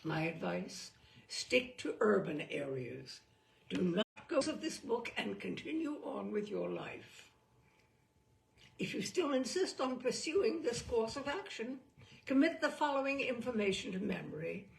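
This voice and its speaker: A woman reading a humorous book aloud as a storyteller, in a deliberate, theatrical and wry manner. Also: a little high, big pitch swings, creaky. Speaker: reader of humorous book selections. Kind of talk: dramatic storytelling read-aloud. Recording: distant microphone.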